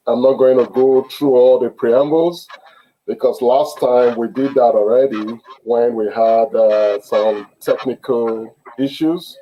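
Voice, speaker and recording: Slow, mellow-voiced male host. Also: mid-range voice, low-quality recording. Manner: halting, many fillers and restarts. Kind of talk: online interview. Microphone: video call.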